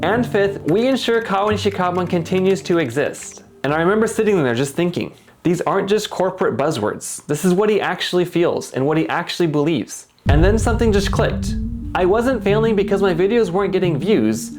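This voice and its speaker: A man's voice: sits high, animated, brisk, darkish tone. Earnest man narrating over guitar music. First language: English. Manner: reflective, earnest. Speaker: company YouTube creator. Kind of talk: scripted vlog narration.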